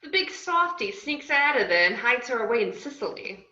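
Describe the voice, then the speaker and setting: A woman playing a brash, bright-voiced antiheroine narrator. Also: on the high side, sing-song, normal speed. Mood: sardonic. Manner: sardonic, slangy. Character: brash, cheeky young woman narrating her crime story. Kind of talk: scripted narration in a table read. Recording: roomy, dull video-call audio.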